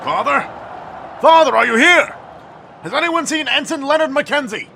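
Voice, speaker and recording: An actor playing a proud, haughty half-human undersea king in an audio drama, formal and forceful. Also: very high voice, lively intonation, normal speed, gravelly, noisy background, heavily acted. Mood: frantic. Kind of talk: cinematic audio drama acting.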